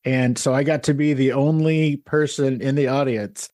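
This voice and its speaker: Chatty podcast host, dry sound. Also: male voice, mid-range voice, fairly even intonation, normal speed, warm tone, no echo. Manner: friendly, laughs often, chatty. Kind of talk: casual interview chat.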